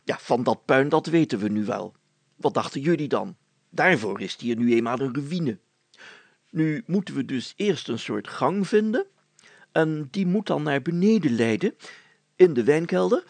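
Man playing a pompous, gravelly village mayor. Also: on the high side, lively intonation, darkish tone, no echo. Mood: stately, determined. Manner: formal, deliberate, hemming and hawing. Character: pompous, fussy, hesitant older male village mayor.

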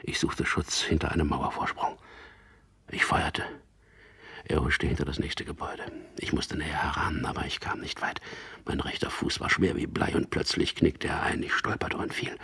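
A very breathy, half-whispered male voice sits at the bottom of the register. a tormented captive fighter in a radio drama, confused and halting, with an intense, hushed delivery. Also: flat delivery, heavily acted. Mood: tense, hushed recollection. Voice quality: very low, breathy, gravelly whispery voice. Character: tormented captured resistance fighter, haunted and confused. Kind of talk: radio drama acting with first-person narration.

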